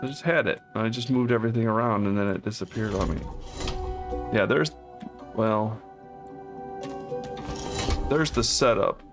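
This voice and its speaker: A man's voice: fairly low pitch, unhurried, long pauses, over music. A man giving casual gameplay commentary, thinking aloud in short phrases. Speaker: gamer, co-op let's player.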